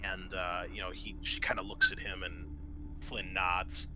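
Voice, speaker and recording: A man narrating a tabletop roleplaying game as game master, informal and halting as he gropes for words. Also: low-pitched, level tone, noisy background.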